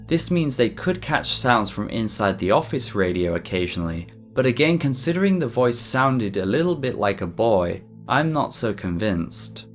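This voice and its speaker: A man reading a scripted mystery documentary narration for an online video in a measured, explanatory way. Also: medium pitch, sing-song. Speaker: YouTube narrator. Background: background music.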